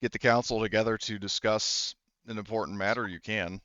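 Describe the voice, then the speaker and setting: A man's voice: fairly low pitch, steady tone, a little fast, sharp tone, no room sound, dull audio. A man acting as game master, casually explaining how things work to the players in a loose, conversational way. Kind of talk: casual tabletop game refereeing.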